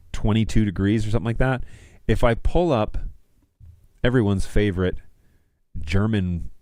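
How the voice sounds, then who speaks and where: A man's voice: lowish voice, darkish tone, no room sound. A man in his thirties hosting a casual livestream, chatting to viewers in an easygoing, slangy, jokey way at a close studio mic. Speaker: livestream host. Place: small home studio room indoors. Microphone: close studio mic on a stand.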